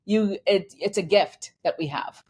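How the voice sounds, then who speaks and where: A woman's voice: medium pitch, measured pace, a little mellow, breathy edge. A woman from Bangladesh, a guest in a conversational interview, speaking earnestly and reflectively and acting out quoted exchanges. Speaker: guest, finance and transformation executive.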